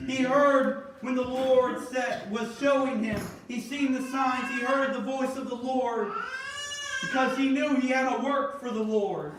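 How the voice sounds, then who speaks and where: High-voiced male preacher in an echoey church. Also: noisy background. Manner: builds from measured reading to emphatic calls. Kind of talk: sermon. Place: church sanctuary. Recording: echoey church, mic far off, congregation responding.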